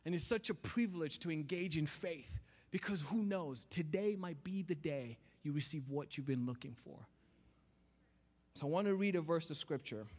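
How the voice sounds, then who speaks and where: A man with a Kenyan accent preaching in church, telling a personal story with lively acted-out voices. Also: fairly high pitch, moderate pace. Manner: animated storytelling, acting out voices. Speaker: guest preacher. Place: church sanctuary.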